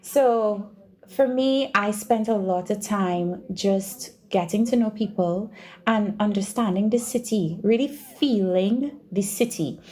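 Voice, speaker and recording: A woman's voice: mid-range voice, slow pace, warm tone. A woman from Trinidad and Tobago with a Trinidadian accent, answering interview questions conversationally and repeating phrases for emphasis. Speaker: podcast guest, doctoral researcher and university teacher.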